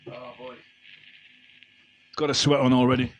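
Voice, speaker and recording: A man with a slightly croaky voice, hosting a casual livestream of rock and roll songs and chatty banter. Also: medium pitch, little pitch movement, moderate pace, slight background noise, low-quality recording. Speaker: amateur singer hosting a livestream singalong. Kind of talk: casual banter with online viewers; live rock and roll singing with guitar. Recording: home livestream with music behind. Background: guitar and backing music.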